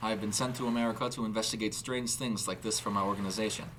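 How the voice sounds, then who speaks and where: A man voicing a fussy, excitable German investigator of strange creatures, speaking haltingly with a German accent in a story. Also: lowish voice, background noise, lightly acted. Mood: eager. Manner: halting, searching for words.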